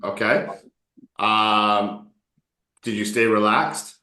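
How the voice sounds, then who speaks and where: Relaxed guy coaching english on a video call, joking around, sounds a bit roomy. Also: fairly low pitch, drawn-out words. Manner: relaxed, chatty, encouraging, jokes with students. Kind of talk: casual online English lesson.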